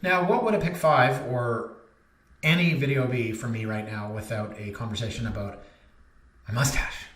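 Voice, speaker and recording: A man's voice: mid-range voice, normal speed, fairly bright tone. Chatty man in an echoey room. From Canada. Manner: chatty, joking, self-deprecating. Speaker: realtor and vlog host. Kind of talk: casual rambling talk to camera. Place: office.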